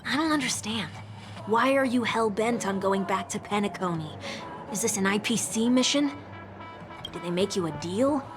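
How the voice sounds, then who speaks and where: An actress playing a sharp, sarcastic young woman who speaks bluntly and teases, with music playing behind her. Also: medium pitch, moderate pace, natural voice. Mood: skeptical. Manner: teasing and blunt. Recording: game soundtrack music behind.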